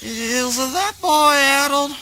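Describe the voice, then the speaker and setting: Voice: high voice, slow, sharp tone, a little hiss or hum, heavily acted. An actor playing an evasive, folksy backwoods river dweller in a spoken word play, wry and cryptic in a rustic way. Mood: cagey, dismissive. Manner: folksy rustic phrasing, cagey.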